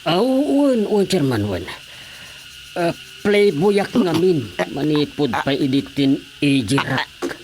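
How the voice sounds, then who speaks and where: A man playing a sheepish aging playboy villager in an Ilocano radio comedy drama, eagerly repeating himself as he haltingly confesses. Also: on the high side, very animated, a little mellow, slight background noise. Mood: eager, confiding.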